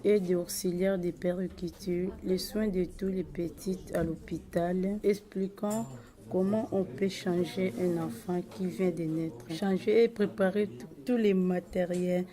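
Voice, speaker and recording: A young woman, a student, gives a personal account in halting, stumbling phrases. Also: fairly low pitch, fairly even intonation, dark tone. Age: young adult. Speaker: high school student. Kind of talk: personal account of career choice.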